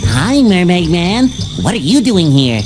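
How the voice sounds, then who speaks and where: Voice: medium pitch, very animated, a little slow. A chirpy lil cartoon sponge kid, super bouncy and eager to please, music blasting behind. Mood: eager, friendly. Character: eager, naive, cheerful young sea sponge boy. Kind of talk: cartoon video game voice acting. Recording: loud game music behind.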